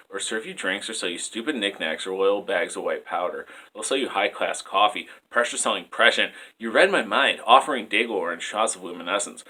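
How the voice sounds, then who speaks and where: Low, bright-voiced man reading poetry. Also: rough recording. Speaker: poet. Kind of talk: spoken-word poetry reading. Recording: clear background noise.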